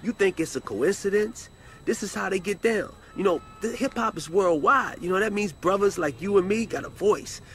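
An actor playing a streetwise hip-hop label boss in a video game, slangy, preachy and persuasive. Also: male voice, high voice, normal speed, fairly bright tone, slight background noise. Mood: earnest, persuasive. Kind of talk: video game cutscene acting.

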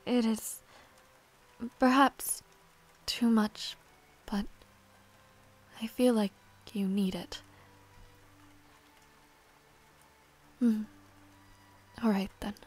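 Slow-spoken, tender young villainess, acted by a woman. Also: a little high, fairly even intonation. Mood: tender, hesitant. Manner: formal, halting, softly spoken. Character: young noble villainess, proud yet shy and tender. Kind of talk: intimate romantic audio roleplay.

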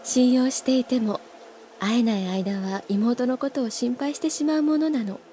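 A woman's voice: fairly high pitch, normal speed, warm tone, a little hiss or hum, lightly acted. A woman playing an elegant, composed older schoolgirl with refined, ladylike poise in an anime audio drama. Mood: gently concerned.

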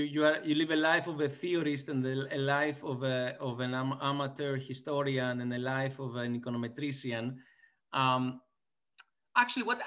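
A man's voice: mid-range voice, fairly bright tone. A man in his forties with a Greek accent, speaking as a guest on a video call interview, thoughtful and full of fillers and restarts. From Greece. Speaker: guest economist. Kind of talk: conversational interview. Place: small indoor room. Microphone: laptop webcam on video call.

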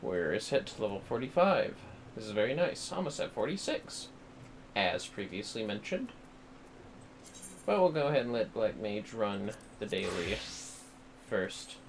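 Low-voiced man with creaky, animated delivery. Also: moderate pace, pauses often, slight background noise. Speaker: livestreamer, host. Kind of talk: casual gaming livestream commentary, talking to chat. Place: indoors at home.

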